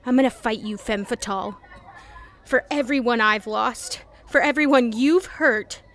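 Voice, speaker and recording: A woman's voice: a little high, a little slow, fairly bright tone. A woman playing an earnest young superhero vowing revenge, in melodramatic audio drama. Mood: defiant, impassioned.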